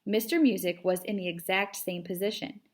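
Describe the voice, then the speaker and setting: A woman's voice: medium pitch, steady tone, moderate pace. A woman reading a children's storybook aloud as a narrator, like a teacher to pupils.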